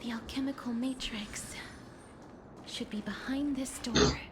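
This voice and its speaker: Woman acting a gentle, high-voiced game heroine. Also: steady tone, heavy background noise, natural voice. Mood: calm, focused. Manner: soft, measured, polite. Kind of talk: video game character voice acting.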